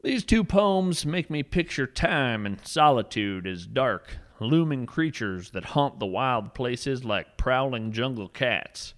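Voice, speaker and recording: Man acting as whimsical naturalist adventurer, male, folksy, theatrical, earnest, very animated intonation, scripted podcast storytelling. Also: medium pitch, slow pace, few pauses. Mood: musing. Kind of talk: scripted fiction podcast narration.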